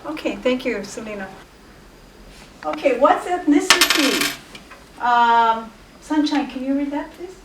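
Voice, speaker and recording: A woman playing a high-voiced, questioning teacher. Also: lively intonation, a little slow, long pauses, heavy background noise. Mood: calm. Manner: questioning, gently challenging. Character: woman teacher leading a class discussion. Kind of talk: amateur student acting. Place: classroom. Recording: roomy classroom sound from far off.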